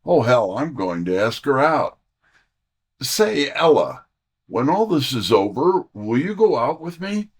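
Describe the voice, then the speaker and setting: An actor playing a wry, breezy detective's assistant in an audio drama, with a theatrical, wisecracking delivery. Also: male voice, medium pitch, sing-song, unhurried, breathy edge, heavily acted. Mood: smitten. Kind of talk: full-cast audio drama, detective narration.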